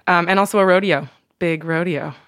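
A woman's voice: fairly low pitch, fairly quick, no echo, clean studio sound. A young woman from Oregon chatting as a podcast guest, laughing easily and full of ums and restarts. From Oregon, USA. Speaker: podcast guest, wine brand manager. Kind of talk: casual interview chat.

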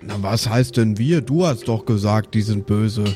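Male tabletop player. Casual, halting improviser, noticeable music underneath. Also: on the low side, dark tone. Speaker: player in a tabletop role-playing game. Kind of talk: casual improvised game talk.

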